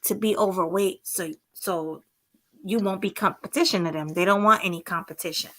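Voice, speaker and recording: A woman co-hosting a casual talk show, chatty and sympathetic, reacting quickly to her guests. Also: mid-range voice, a bit bright. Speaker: podcast co-host. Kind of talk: casual chat.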